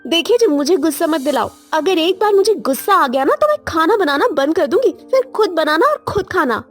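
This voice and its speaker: A woman's voice: very high-pitched, sing-song, fairly quick, soft background music. A woman voicing a feisty, scolding young wife in a dramatic cartoon moral story, indignant in manner. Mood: irritated retorting.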